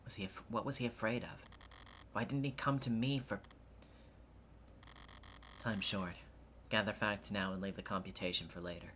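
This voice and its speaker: A woman voicing a cynical, sharp-witted investigator in a video game, dry, sardonic and offhand. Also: very deep voice, steady tone, normal speed, long pauses, background noise. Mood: pensive. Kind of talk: voice acting a visual novel game.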